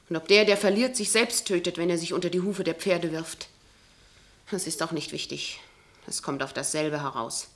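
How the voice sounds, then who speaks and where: A woman's voice: fairly low pitch, close to an everyday voice. A woman acting in a German radio play as a fearful, fatalistic townswoman, grave and intense. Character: fearful, fatalistic townswoman explaining a deadly game.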